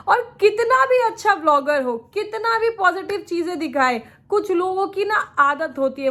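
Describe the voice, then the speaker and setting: Woman, high voice, sore throat from a cold, chatty opinionated vlogger. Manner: chatty and opinionated, laughs often. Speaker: vlogger reacting to other creators. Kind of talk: casual reaction vlog commentary.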